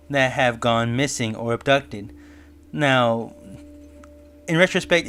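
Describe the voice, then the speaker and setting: A man's voice: medium pitch, a bit bright. A man giving explanatory, musing commentary in the style of a semi-scripted video essay review. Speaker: online reviewer and theorist. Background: background music.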